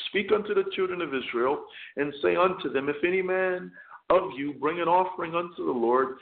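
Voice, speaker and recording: A man serving as chaplain and radio host, preaching slowly and deliberately with many fillers, hoarse and losing his voice. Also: fairly high pitch. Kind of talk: radio sermon with scripture reading. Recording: tinny phone line, echoey.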